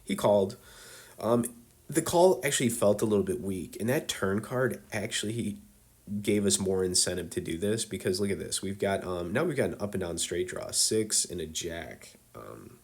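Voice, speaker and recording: Casual low-voiced man with a cold. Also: animated, moderate pace. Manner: loose and conversational, many fillers, chummy asides to viewers. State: has a cold. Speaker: poker video host. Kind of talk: casual hand-review commentary.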